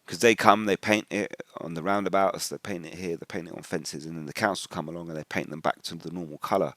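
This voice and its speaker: A man's voice: deep voice, steady tone, quick pace, fairly bright tone, no room sound. A man from England with an English accent gives informal religious commentary in colloquial, slangy speech, often acting out what other people say. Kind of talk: informal spoken commentary with personal anecdotes.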